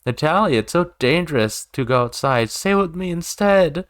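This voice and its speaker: A man's voice: a little high, expressive, normal speed, no room sound, studio quality, pitched above the performer's own voice, lightly acted. A man voicing a clingy, jealous woman from a visual novel, pleading in a needy, whiny way.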